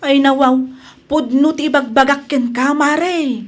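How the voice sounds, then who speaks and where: A flustered Ilocano woman pleads in a very high voice. She sounds evasive and plaintive, full of exclamations, in radio comedy drama style. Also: fairly even intonation, measured pace. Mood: evasive, defensive.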